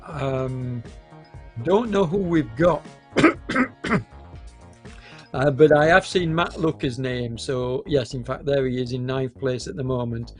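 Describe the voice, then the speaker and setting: A man with a cold commentating a live esports cycling race, hesitant and full of fillers, with a hoarse voice and occasional coughs. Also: mid-range voice, soft background music. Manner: hesitant, many fillers, unhurried.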